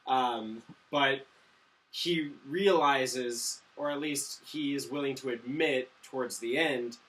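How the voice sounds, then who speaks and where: Guy chatting movies, bright forceful voice, bit far from the mic, opinionated. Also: medium pitch, takes it slowly. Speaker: movie review co-host. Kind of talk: casual movie chat. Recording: slightly distant microphone.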